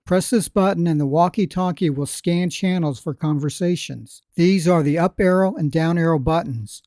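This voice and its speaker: A man narrating scripted product instructions in a deliberate, plain, step-by-step way. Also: a little high, dark tone, no echo. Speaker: product demonstrator.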